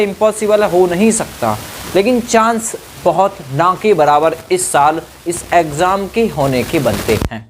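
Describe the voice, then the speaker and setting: A man's voice: sits high, slight background noise. Man explaining exam news. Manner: repeats phrases for emphasis, checks in with tag questions. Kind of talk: informal explainer addressed to exam candidates.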